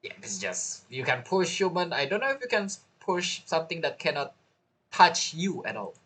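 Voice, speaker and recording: A man's voice: fairly high pitch, sing-song, moderate pace, a bit bright, slightly rough. A man streaming a game playthrough with casual commentary, musing aloud and making joking asides.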